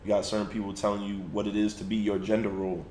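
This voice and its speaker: Low easygoing guy hosting a podcast, echoey room, slight hiss. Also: completely flat delivery, rapid-fire, darkish tone. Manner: easygoing, posing questions to the group. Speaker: podcast host. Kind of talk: casual group discussion.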